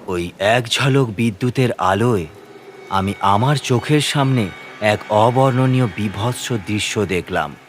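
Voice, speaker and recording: A man's voice: lowish voice, takes it slowly, a little hiss or hum. A man narrating a scripted horror story in the first person, with a suspenseful, theatrical delivery.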